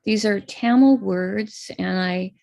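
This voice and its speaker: A woman's voice: medium pitch, slow pace, creaky, dark tone, no echo. An American woman, an astrologer, patiently talking viewers through a chart step by step before leading a live-streamed ritual.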